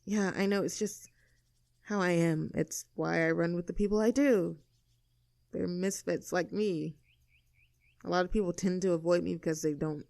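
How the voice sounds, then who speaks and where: A woman playing a sarcastic, standoffish young mean girl with a soft side in an audio roleplay, talking in a casual, teasing, blunt way. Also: fairly low pitch, gravelly, no room sound. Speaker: voice actor. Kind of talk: scripted audio roleplay, naturalistic one-sided dialogue.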